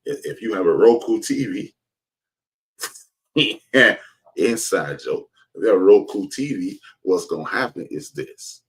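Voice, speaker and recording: A man's voice: fairly low pitch, animated, measured pace, husky, low-quality recording. An American man giving an informal, emphatic opinion monologue to his viewers, preaching his point and repeating phrases for stress. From United States. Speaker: host of an online commentary show.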